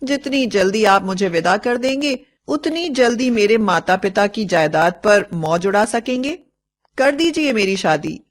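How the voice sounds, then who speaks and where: A woman's voice: mid-range voice, lively intonation, unhurried, natural voice. Bitter, sarcastic young stepdaughter, acted by a woman narrator. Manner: sarcastic, accusing, emphatic.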